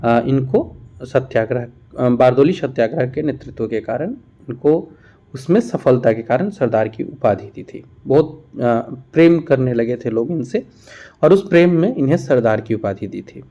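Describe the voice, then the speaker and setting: A man's voice: on the high side, dark tone. A man teaching an exam revision lesson, reading out questions and explaining each answer. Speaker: teacher for competitive exam preparation.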